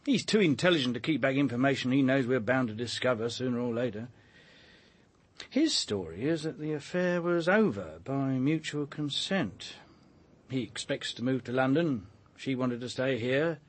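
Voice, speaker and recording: A husky man's voice of middling pitch acting a wry police detective in a radio play. He is chatty and colloquial, swinging freely up and down as he thinks aloud. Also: lively intonation, moderate pace, close to an everyday voice. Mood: amused, confiding. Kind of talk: naturalistic radio drama acting.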